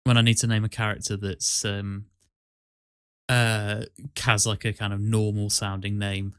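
Low-voiced man chatting in an even tone. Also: measured pace, clear, resonant tone, dry recording, studio quality. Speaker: podcast host and amateur voice actor. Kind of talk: casual podcast chat.

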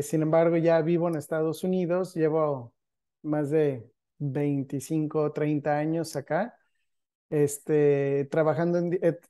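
A man in his forties from Guadalajara, Mexico, answering relaxed as a guest on a video call. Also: a little high. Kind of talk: casual video-call interview. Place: home room, indoors.

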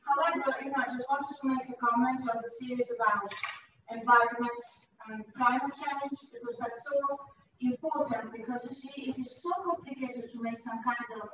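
A woman's voice: a little high, steady tone, normal speed, fairly bright tone. Very echoey, distant woman, academic manner. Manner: wordy, academic, frequent "you know". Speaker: audience member, political philosopher. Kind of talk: spoken comment at a talk. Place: room with an audience, indoors. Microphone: far from a shared device on a video call. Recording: echoey distant muffled room, noisy.

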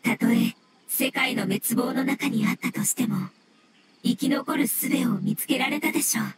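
A woman voicing a gentle fungus elemental in an anime game, earnest, formal and measured. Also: high voice, very noisy, lightly acted. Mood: calm, explaining. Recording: loud music under voice.

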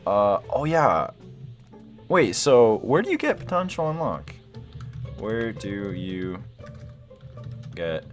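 Animated young man gaming. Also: low voice. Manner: excitable, slangy, thinking aloud. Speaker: gaming video host. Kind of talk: casual gameplay commentary.

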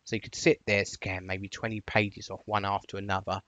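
A man with a fairly low voice talks a viewer through software steps. He is chatty and instructive, leaning on the first words of each phrase. The recording is dry and a little dull, as if on a close computer mic. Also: flat delivery, moderate pace, no room sound. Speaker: software tutorial presenter. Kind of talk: informal instructional screencast narration.

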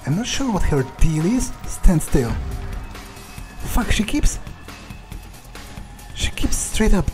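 A man improvising in-character game narration, halting and sweary as he mutters to himself. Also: sits high, sing-song, music in the background. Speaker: player role-playing his game character.

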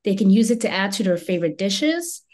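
Woman presenting her business, medium pitch. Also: very animated, brisk, a little mellow. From Belgium. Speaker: small food business owner. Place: indoors, on a video call. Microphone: video call microphone.

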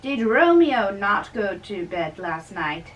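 A woman's voice: medium pitch, big pitch swings, slow. Woman reading as a scolding old priest, distant mic. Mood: concerned, probing. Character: concerned, scolding older priest advising a young man. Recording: distant camera mic with faint room noise.

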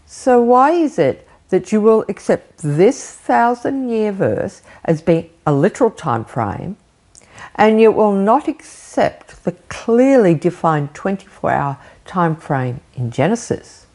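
Slow, lively older woman preaching. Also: medium pitch. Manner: animated, gesturing, rhetorical questions. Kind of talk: recorded home sermon. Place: living room with bookshelf, indoors. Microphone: fixed camera framing, seated.

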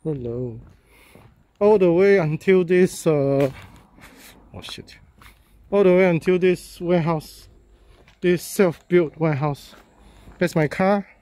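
A man, a real estate agent walking through a property and talking casually, halting and repeating himself with many fillers as he goes. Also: fairly high pitch, dark tone. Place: walking through a house and yard, in and out of doors.

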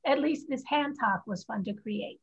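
Woman as sharp-tongued teenage girl, high and husky. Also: normal speed. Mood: proud, bitter. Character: sharp-tongued fifteen-year-old Chinese girl, proud gifted linguist. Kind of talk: staged reading of a stage play. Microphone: video call. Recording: muffled video-call audio.

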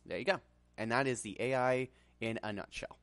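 A man presenting a casual software tutorial in an informal, hesitant way. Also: medium pitch, a little fast, no room sound.

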